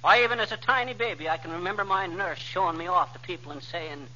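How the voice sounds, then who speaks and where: A man's voice: high voice, pushed and bright. An actor playing a boastful, wisecracking man with a head cold in a 1940s radio comedy, on a lo-fi old broadcast recording. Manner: brash, wisecracking, rapid tongue-twister patter. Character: boastful blustery middle-aged man with a cold. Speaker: comic lead in a radio sitcom.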